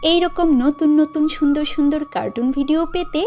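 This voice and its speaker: A woman's voice: high voice, a little fast, dark tone, soft background music. A woman narrating a scripted children's story.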